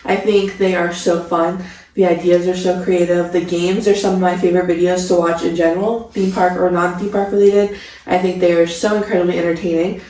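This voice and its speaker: A woman's voice: on the low side, little pitch movement, fairly quick, warm tone. A woman of twenty-five chatting casually and enthusiastically as co-host of a vlog. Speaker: vlogger co-host. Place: home studio room, indoors. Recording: echoey room, distant mic.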